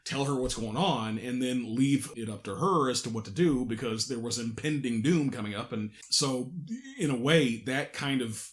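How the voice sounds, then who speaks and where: A man's voice: mid-range voice, normal speed, hardly any pauses. Male podcast host, mid-range voice, agreeable behind-the-scenes chat about creative work.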